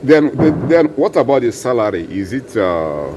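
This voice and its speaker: A man's voice: medium pitch, big pitch swings, moderate pace, noisy background. A man interviewing a mechanic in a casual way, encouraging him and repeating his answers back.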